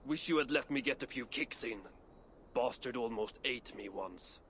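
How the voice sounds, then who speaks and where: An actor playing a proud, foul-mouthed dwarf craftsman in a video game, gruff and sardonic. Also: medium pitch, moderate pace, a bit bright, slight background noise, lightly acted. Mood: indignant, scornful. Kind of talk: cinematic video game acting.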